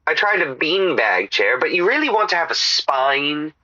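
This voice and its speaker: A man's voice: on the high side, sing-song, moderate pace, pushed and bright, no room sound, lo-fi sound, full character voice. A man playing a pompous, randy caller to a radio advice show in a comedy audio drama, savouring his words theatrically. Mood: smug, savouring.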